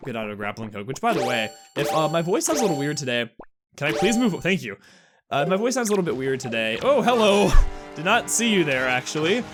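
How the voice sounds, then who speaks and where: Man, tired, slightly raspy, voice cracks, rambling gameplay commentary, clear game music behind. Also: fairly high pitch, sing-song. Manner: rambling, chatty, quick asides and chuckles. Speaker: let's play host.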